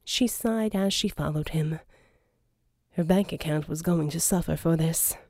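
Mellow-voiced woman narrating fiction. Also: deep voice, a little slow, no echo, studio quality. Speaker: audiobook narrator.

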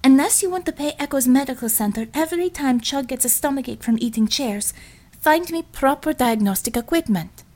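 A woman's voice: high-pitched, animated, a little fast, a little mellow, voice pushed up. Woman playing an elegant, stern woman doctor. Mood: restrained anger. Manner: precise, scolding, restrained.

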